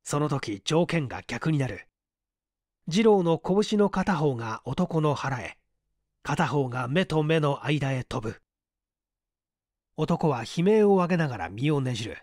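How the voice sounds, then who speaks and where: A man's voice: a little high, no room sound, studio quality. A man reading a mystery story aloud as scripted audiobook narration. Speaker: audiobook reader.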